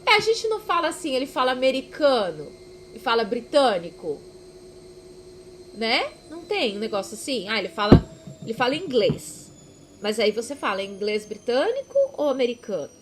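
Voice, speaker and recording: A woman's voice: fairly high pitch, big pitch swings, normal speed, slight background noise. A Brazilian woman with a Brazilian accent, chatting casually in a rambling devotional vlog, correcting herself and laughing at herself. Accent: Brazilian Portuguese.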